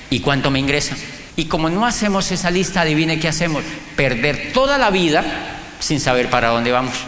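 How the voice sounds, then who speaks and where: A man's voice: high voice, a bit bright. A middle-aged Colombian man giving an emphatic motivational talk, telling personal stories and putting rhetorical questions to a live audience. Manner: emphatic, asks rhetorical questions, acts out dialogues. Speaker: motivational speaker and business coach. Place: large room or hall before an audience. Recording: echoey hall, faint background noise.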